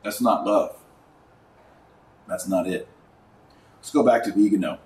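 A man hosting a religious commentary podcast, speaking in an emphatic, didactic, preachy way with deliberate stresses and repetition. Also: on the low side, darkish tone. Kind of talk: preachy commentary to camera or microphone. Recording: roomy echo, distant mic, faint noise.

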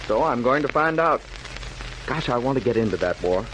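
A man's voice: medium pitch, expressive, fairly quick, close to an everyday voice. A man playing an eager, impatient and naive young fellow in a 1940s radio drama. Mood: eager, urgent. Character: eager, impatient, naive teenage boy wanting to enlist. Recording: noisy old 1940s radio recording.

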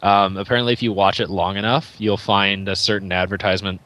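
Quick-talking man with a lowish voice. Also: level tone, fairly bright tone. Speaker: video game podcast co-host and reviewer. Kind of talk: casual chat.

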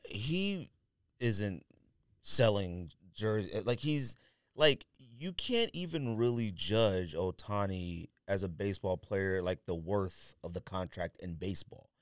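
A man's voice: lowish voice, lively intonation, slow pace, dry recording, studio quality. A man co-hosting a casual sports podcast, talking emphatically in slang and repeating himself to press a point. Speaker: podcast co-host.

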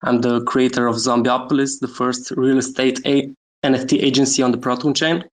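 Romanian man, live-chat guest, flat, halting delivery full of ums. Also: medium pitch. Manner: hesitant, many fillers, laughs often. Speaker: guest NFT creator. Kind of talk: casual chat on a Twitter space.

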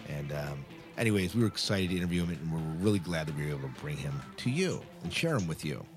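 Man, low-pitched, podcast host, chatty, self-correcting, faint music underneath. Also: sing-song, fast. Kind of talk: casual podcast talk to listeners.

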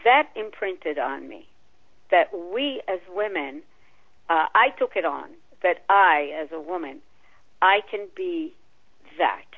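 A woman who grew up in Israel answers interview questions slowly, pausing and hesitating as she thinks. Her voice is a little low. It comes through a thin, tinny phone line. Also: animated. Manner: thoughtful, halting, hesitant. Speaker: guest.

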